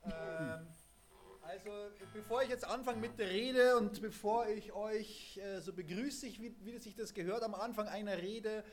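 A man, a lecturer, introducing himself to an audience in a chatty, self-deprecating, halting way. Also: sits high, faint music behind. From Munich, Germany. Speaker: lecturer giving a talk.

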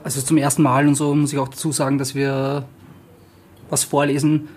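A man's voice: mid-range voice, level tone, fairly quick, a little mellow, slight background noise. A man presenting a book to an audience and reading a passage aloud in turns, often hesitating with fillers. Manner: hesitant, many fillers when speaking freely. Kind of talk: casual introduction and alternating reading aloud.

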